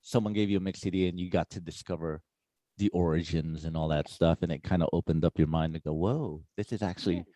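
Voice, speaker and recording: A man's voice: sits low, steady tone, fast, warm tone, no echo. A man hosting a casual interview, asking rambling and enthusiastic questions. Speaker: host.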